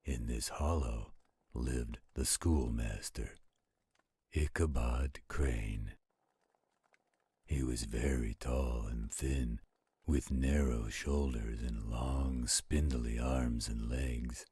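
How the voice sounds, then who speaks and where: A man playing a gentle, protective werewolf in a roleplay audio, telling bedtime stories in a slow, intimate, caring way. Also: steady tone, exaggerated voice. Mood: soothing, storytelling. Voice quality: very deep, husky, gravelly growl. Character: gentle protective male werewolf, soothing storyteller to his partner.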